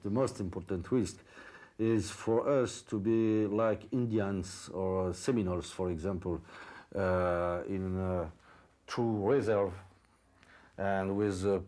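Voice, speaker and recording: Hesitant deep-voiced man, halting speech. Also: fairly even intonation, dark tone. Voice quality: hesitant, many fillers. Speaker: village resident interviewed. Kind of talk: halting interview answer in a second language.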